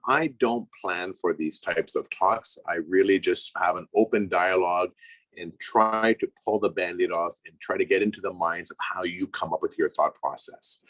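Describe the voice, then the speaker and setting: Raspy-voiced man giving a calm talk. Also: low-pitched, no echo, rough recording. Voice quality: raspy from a cold. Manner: calm, measured, conversational. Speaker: guest speaker. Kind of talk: reflective public talk.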